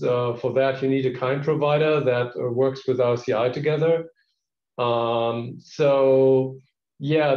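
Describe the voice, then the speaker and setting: A man who is not a native English speaker, giving a casual project update on a video call, hesitant with many fillers and restarts. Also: mid-range voice. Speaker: project contributor. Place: indoor office, video call. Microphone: video call webcam mic. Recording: roomy, dull video call audio.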